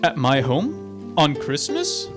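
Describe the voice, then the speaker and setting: A man's voice: a little high, huge pitch swings, slow, music in the background, exaggerated voice. A man playing a hearty, welcoming nephew in a radio drama, with flustered exclamations. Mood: astonished. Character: hearty, welcoming young nephew hosting Christmas dinner. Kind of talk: theatrical radio drama acting.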